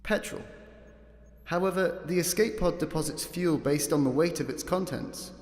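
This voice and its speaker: An actor playing a literal-minded, deadpan escape pod computer in a sci-fi comedy radio play. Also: male voice, on the high side, normal speed, lightly acted. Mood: matter-of-fact. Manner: deadpan, literal, matter-of-fact. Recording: echoey, long reverb on voice.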